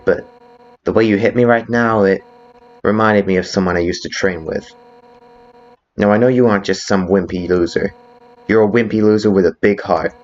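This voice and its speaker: A brash, cocky fish warrior woman from a game fan dub , acted out by a man. The voice sits low and speaks bluntly, with teasing swagger over a soft heart. The recording is a little dull. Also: lightly acted.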